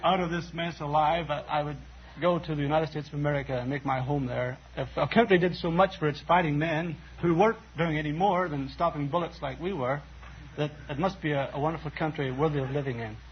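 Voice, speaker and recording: A man's voice: on the high side, brisk, slight background noise. A young man from Ireland with a Donegal accent, a quiz contestant talking earnestly and politely. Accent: Irish, Donegal. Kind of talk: casual chat. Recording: lo-fi 1950s radio broadcast.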